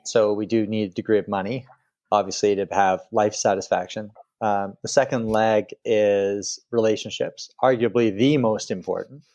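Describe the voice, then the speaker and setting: Low-voiced relaxed male guest. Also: moderate pace. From Canada. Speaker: guest author. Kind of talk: interview conversation.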